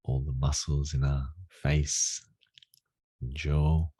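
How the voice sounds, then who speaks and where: A man leading a guided meditation in a gentle, unhurried, soothing manner with long pauses. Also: a bass voice, flat delivery, slightly rough, dry recording, studio quality. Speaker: meditation teacher.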